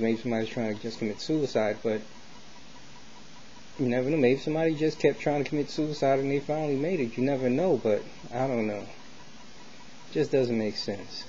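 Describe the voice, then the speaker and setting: A man's voice: mid-range voice, dark tone. An American man in a casual, rambling vlog monologue, speaking colloquially with street slang and often repeating himself as he circles back. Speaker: vlogger sharing opinions. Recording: dull lo-fi sound with faint noise.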